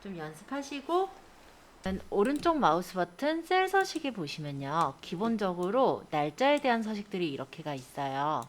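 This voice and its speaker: A woman's voice: mid-range voice, very animated, moderate pace, slight background noise. A woman instructor giving a step-by-step spreadsheet lesson, explaining and often checking in with short tag questions. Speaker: computer skills instructor.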